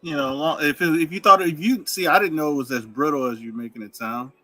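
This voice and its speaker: A man joining a casual live stream, telling stories in an animated way and laughing easily. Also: a little high, fast talker, faint music behind.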